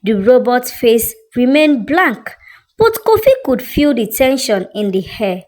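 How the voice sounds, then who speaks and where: Woman narrator for suspenseful story. Unhurried delivery, wide swings in pitch, expressive. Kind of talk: scripted dramatic story narration.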